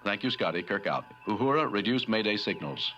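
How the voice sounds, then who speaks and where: A bright commanding starship captain. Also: male voice, on the low side, very animated, brisk, lo-fi sound, slight background noise.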